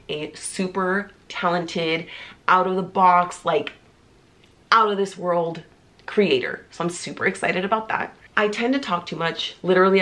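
A woman in her thirties talks to camera, bubbly and full of "like" fillers. She is congested from a cold, so her voice sounds stuffy and nasal. Her pitch sits a little low for a woman. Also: a bit bright. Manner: bubbly, talkative, lots of "like" fillers. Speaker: vlogger. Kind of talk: casual chatty vlog. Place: small indoor room, seated before a pink wall.

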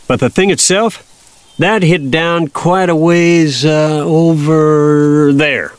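A man's voice: on the high side, steady tone, takes it slowly, clean tone, no echo. An actor playing an older Kansas farmer father, plainspoken, folksy and patiently wry, in an audio drama.

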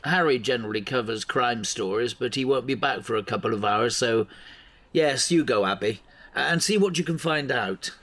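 A man's voice: mid-range voice, moderate pace, a bit bright, natural voice. A man acting as a seasoned, middle-aged small-town newspaper editor in an audio drama, dry and avuncular. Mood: instructive.

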